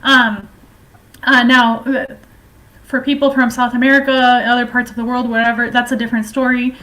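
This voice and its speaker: A woman giving informal political and historical commentary in a halting, hesitant way, explaining at length. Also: a little high, level tone, bright, forceful tone, low-quality recording.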